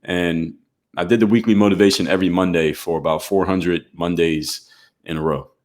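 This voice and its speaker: A man in his forties from Philadelphia, a former pro basketball player, telling his story in a podcast interview in a steady, measured way. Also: low-pitched.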